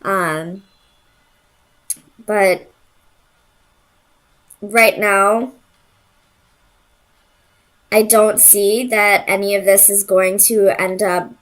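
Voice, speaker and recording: A woman's voice: medium pitch, steady tone, very slow, pauses often. Woman giving an informal tarot reading. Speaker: tarot reader and host.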